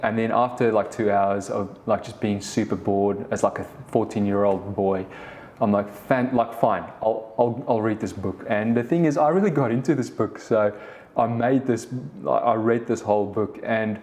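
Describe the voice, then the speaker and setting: South african guy in his 30s hosting a business podcast, afrikaans first language, lowish voice, rambles and restarts a lot. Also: moderate pace, a little mellow. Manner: rambling, frequent restarts and "the thing is". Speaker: podcast host, business co-founder. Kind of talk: casual solo podcast talk.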